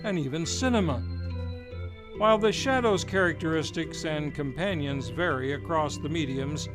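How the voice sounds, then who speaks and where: Man, old-time radio host, showman announcer delivery, slightly high voice, music behind.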